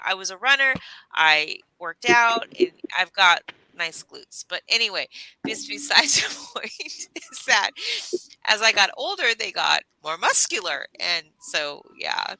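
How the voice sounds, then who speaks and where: A middle-aged woman with a very bright, lively voice, giggling self-consciously on a video call. Her sound is close and dry. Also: mid-range voice, unhurried, no echo, rough recording. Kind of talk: casual chat.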